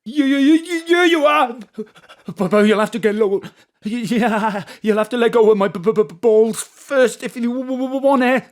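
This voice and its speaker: A woman's voice: a little high, moderate pace, lightly acted. A woman playing a sleazy, lecherous male thug who blusters, whines and stammers in an audio drama. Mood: frightened, pleading. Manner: stammering, whining.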